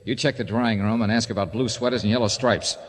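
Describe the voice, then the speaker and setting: An actor playing a wry, brisk, tough private detective in a 1940s radio drama, with clipped, assured wisecracks. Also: male voice, fairly low pitch, fairly even intonation, slight background noise, close to an everyday voice. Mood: businesslike.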